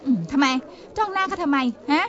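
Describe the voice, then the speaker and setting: An actress playing a feisty young village woman who scolds a man with sharp scorn. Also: very high-pitched, a little fast. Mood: scornful. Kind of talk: Thai TV drama acting. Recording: distant, dull lo-fi TV audio.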